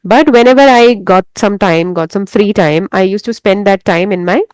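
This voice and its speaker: An Indian woman with an Indian English accent, a Hindi speaker and English teacher, hosting a casual interview in a calm, encouraging way. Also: medium pitch, moderate pace, clear, resonant tone, no echo, rough recording. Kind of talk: casual video-call interview.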